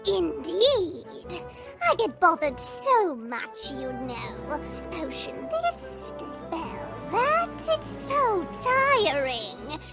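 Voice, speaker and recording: Eccentric little old goblin witch, high and animated, quaint and cheerful, music behind. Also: very slow, heavily acted. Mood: cheerful, chatty. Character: small eccentric old female goblin shaman, cheerful and chatty. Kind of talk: fantasy audio roleplay acting.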